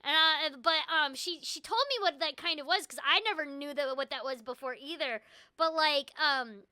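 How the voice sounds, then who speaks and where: A woman's voice: sits high, normal speed, pushed and bright, no echo, clean studio sound. A woman voice actor hosting a casual stream, chatting loosely with frequent restarts and a noticeable glottal stop, on a headset mic at home.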